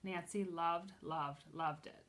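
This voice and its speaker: A woman teacher reading a children's chapter book aloud, expressively, pausing to explain and ask questions. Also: on the low side, steady tone, a bit bright. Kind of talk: children's storybook read-aloud.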